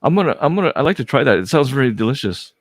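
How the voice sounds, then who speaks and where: A man's voice: medium pitch, sing-song, very fast. A man hosting a casual livestream interview, friendly and prone to stumbling restarts. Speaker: host.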